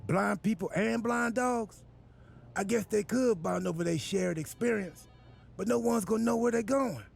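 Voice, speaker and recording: A high, heavily creaky male voice for a scatterbrained wrestler who fancies himself in charge. He rambles earnestly and takes everything literally, with a husky rasp, in the manner of a game cutscene. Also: moderate pace, faint music behind, full character voice. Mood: breezy, rambling. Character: goofy, scatterbrained, self-important male wrestler playing boss. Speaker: wrestler acting as general manager. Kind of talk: video game cutscene acting.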